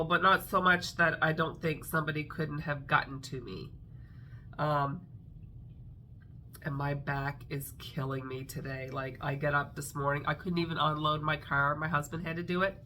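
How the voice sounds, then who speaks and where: A woman's voice: low voice, pushed and bright, a little hiss or hum. A middle-aged woman, a craft vlogger, chatting casually to camera with a congested, nasal voice and a rambling manner full of fillers. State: congested, nasal from a sinus infection.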